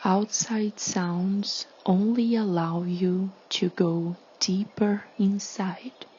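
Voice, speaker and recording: A woman leading a guided meditation in a soothing, hushed, breathy delivery with long pauses. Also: on the low side, lo-fi sound, slight background noise.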